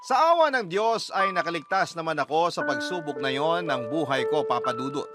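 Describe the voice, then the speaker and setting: A man's voice: on the high side, fairly quick, over music, lightly acted. An actor narrating as the wistful, self-pitying child of a poor mother in a confessional radio drama. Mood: grateful, reflective.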